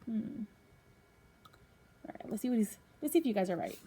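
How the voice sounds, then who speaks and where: A woman reading a children's picture book aloud as a teacher does for pupils, pausing to put questions to young listeners. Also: a little high, animated, warm tone, slightly rough.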